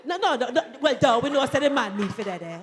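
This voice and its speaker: A woman's voice: sits high, lively intonation, fast, a faint crowd. A woman moderating a live panel discussion in a chatty, playful, teasing manner. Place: event hall, live audience. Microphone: handheld mic. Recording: long hall reverb at live event.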